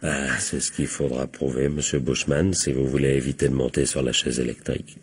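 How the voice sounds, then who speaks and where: An actor playing a stern male investigator at an inquest in an old French radio crime drama, formal and menacing, with a husky voice. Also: very deep voice, flat delivery, brisk, exaggerated voice.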